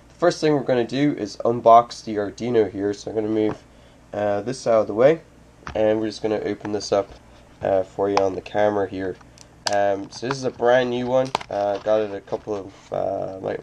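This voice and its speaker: A man's voice: fairly low pitch, warm tone. A man hosting a hands-on electronics tutorial at his desk, talking casually with many ums and uhs while getting over a cold. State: recovering from a cold. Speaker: host of a hobby electronics channel. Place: home desk with computer.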